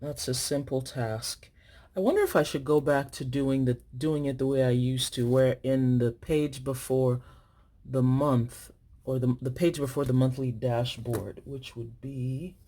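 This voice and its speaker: A woman's voice: very deep voice, fairly even intonation, measured pace, warm tone. A woman from Nigeria chatting casually to her viewers while filling in her planner, thinking aloud and correcting herself as she writes. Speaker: planner vlogger.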